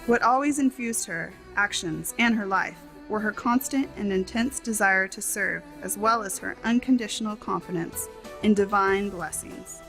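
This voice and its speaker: A woman reading a translated tribute and speech as a voice-over, in measured, formal phrasing with short clauses. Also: medium pitch, over music, rough recording.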